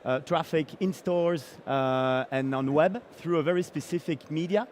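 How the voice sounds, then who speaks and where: Founder, middle-aged man from Belgium, a bit higher-pitched, hesitant pitch full of "uh"s. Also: moderate pace, darkish tone, a little hiss or hum. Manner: hesitant, many fillers and restarts. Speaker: startup founder. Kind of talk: startup pitch presentation.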